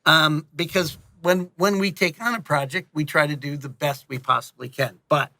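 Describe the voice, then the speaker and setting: A man talking casually as an interview guest, in an animated, rambling and jokey way, often interrupting himself. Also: fairly high pitch, moderate pace, creaky. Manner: casually profane. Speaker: interview guest, audio drama director and producer.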